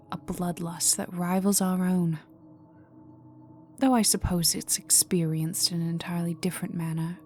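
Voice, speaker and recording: A woman playing a cold, haughty vampire aristocrat in a dark ASMR roleplay, condescending and teasing, often dropping to a whisper. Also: fairly low pitch, natural voice. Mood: disdainful. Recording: voice over dark ambient music. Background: dark atmospheric music with resonant tones.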